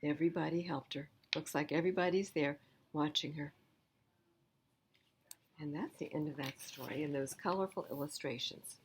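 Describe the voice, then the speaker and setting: A woman's voice: sits low, moderate pace, pauses often, a little hiss or hum. A woman reading a picture book aloud at storytime, emphatic and speaking directly to young listeners. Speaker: library storytime reader. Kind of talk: children's read-aloud storytelling with asides.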